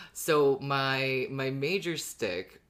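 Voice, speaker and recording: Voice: medium pitch, extremely slow, a bit bright. A podcast co-host in casual fan discussion, talking in a joking, playful and sweary way.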